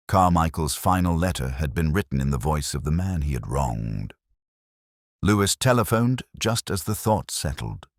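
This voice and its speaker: A man narrating a first-person detective story in a measured, brooding, literary manner. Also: a bass voice, dry recording, clean studio sound. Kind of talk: scripted first-person audio drama narration.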